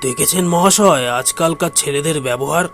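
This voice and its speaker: A man's voice: fairly high pitch, normal speed, a bit bright, soft background music. A man playing a stern, indignant aristocratic father of a groom in an audio story, haughty and complaining. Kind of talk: audio story drama acting.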